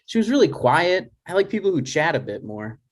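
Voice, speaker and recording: A man's voice: a little high, very animated, a little fast. A man playing a dry, exasperated, reluctant adult son in a comedy table read, hesitant and natural in delivery.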